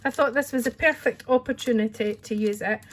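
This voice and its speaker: A woman's voice: on the high side, a little fast, a little hiss or hum. A Scottish woman chatting casually through a card-making tutorial at her craft desk, with a friendly, rambling manner. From Scotland. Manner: chatty, friendly, says "wee" often.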